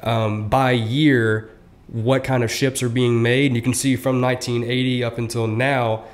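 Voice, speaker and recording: A man's voice: mid-range voice, level tone. Easygoing young man explaining casually. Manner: conversational, many fillers, quick asides. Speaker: podcast co-host.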